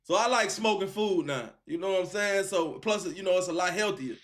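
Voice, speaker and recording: A man's voice: sits high, brisk, a bit bright. A man hosting a live call-in stream, chatting casually and encouragingly in slangy talk, repeating phrases for emphasis. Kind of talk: casual chat with a caller.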